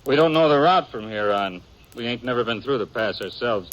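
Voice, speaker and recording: An actor playing an eager young cowboy in a 1950s radio western, a smooth talker with a folksy, hesitant American Western drawl. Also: male voice, mid-range voice, expressive, brisk, fairly bright tone, close to an everyday voice. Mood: probing. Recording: muffled, noisy 1950s radio recording.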